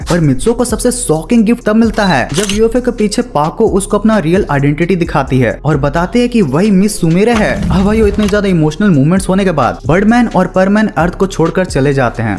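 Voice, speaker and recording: A man's voice: high voice, darkish tone. A man narrating a casual explainer video in a chummy, slangy way, addressing viewers as friends. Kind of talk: casual explainer narration mixing Hindi and English.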